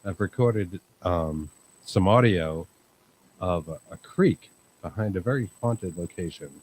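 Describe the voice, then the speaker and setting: A man hosting a live chat show, talking in a calm, rambling way with many fillers. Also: sits low, a little slow, slight background noise, rough recording. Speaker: host. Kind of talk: casual livestream chat.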